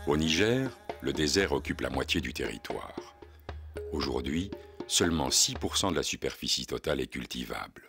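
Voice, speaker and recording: A man reading a scripted documentary narration in an even, informative way. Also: rumbling low, moderate pace, gravelly. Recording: narration over loud music.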